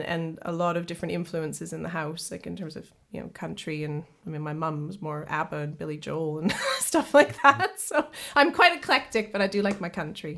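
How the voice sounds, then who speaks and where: A woman from Canada with a slightly low-pitched voice, talking as a singer-songwriter guest in a relaxed remote interview. She chats easily, laughs often, and repeats words as she gets going. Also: vocal fry. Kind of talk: casual interview chat.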